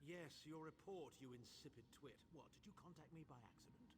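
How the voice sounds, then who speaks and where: An actor playing a haughty, impatient, scornful imperial advisor in a fantasy video game, pompous and condescending. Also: male voice, fairly high pitch, lively intonation, a little fast, husky.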